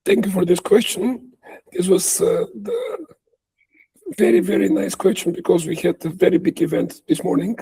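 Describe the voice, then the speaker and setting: A man's voice: high voice, moderate pace, gravelly, warm tone, slightly rough, rough recording. A man, speaking as a company executive answering questions on an earnings call.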